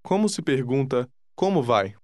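A man narrating a language course, giving calm, deliberate prompts to listen and repeat. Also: medium pitch, dry recording, studio quality. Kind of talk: scripted instruction.

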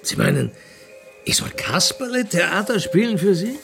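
An actor playing an awkward, bookish job applicant in a radio play, hesitant, polite and self-deprecating. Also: male voice, high voice, big pitch swings, normal speed, gravelly, music in the background, exaggerated voice. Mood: polite, uncertain.

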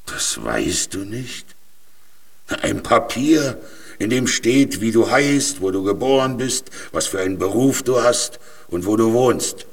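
Resigned old tramp, low gravelly-husky voice, slightly off mic, plain-spoken, children's radio drama. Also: male voice, sing-song, slow, distant mic, full character voice. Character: resigned, weary old male vagabond in a jail cell. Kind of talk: children's radio play acting.

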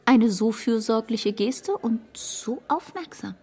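An actress playing a motherly older friend who eagerly shares a hushed suspicion, in a radio play. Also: a little high, lively intonation, takes it slowly, slightly rough, heavily acted. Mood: confiding.

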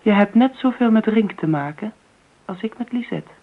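A woman's voice: mid-range voice, animated, normal speed. Insistent woman, tinny old recording. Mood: insistent, coaxing. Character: insistent, coaxing woman pleading with a sullen companion. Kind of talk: Dutch radio play acting.

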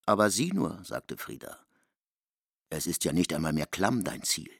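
A man with a gravelly voice narrating literary prose and quoted dialogue in a German radio play. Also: low voice, very animated, normal speed, a bit bright, no room sound, clean studio sound.

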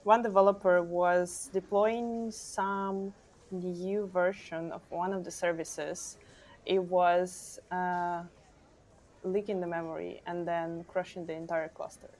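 A woman from Ukraine, an engineer guest, chatting casually on a podcast and pausing often with ums. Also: on the low side, flat delivery, a little mellow. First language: Ukrainian or Russian. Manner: thoughtful, many ums and pauses.

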